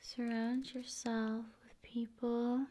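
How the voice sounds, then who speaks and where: A woman with a fairly high, soft voice that often drops into a whisper. She speaks slowly and soothingly in an ASMR style, keeping her pitch very even. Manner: unhurried, soothing delivery with drawn-out phrases. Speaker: ASMR video creator.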